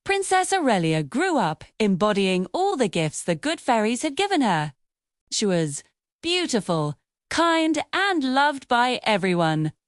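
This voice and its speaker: A woman's voice: on the high side, very animated, a little slow, dry recording, clean studio sound. A woman reading a scripted fairy tale for children as the storyteller.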